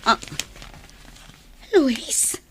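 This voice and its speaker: A woman's voice: extremely slow, a little mellow, soft background music. A young woman with a Filipino accent playing a hesitant village woman being courted in an Ilocano radio comedy drama. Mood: guarded, coy.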